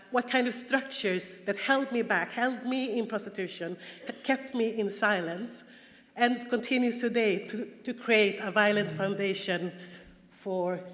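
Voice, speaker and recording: Swedish-accented woman speaker, earnest and halting, mid pitch, recorded in a reverberant conference hall. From Sweden. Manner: earnest, halting, frequent restarts and fillers. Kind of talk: personal testimony talk with slides. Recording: echoey hall, long reverb.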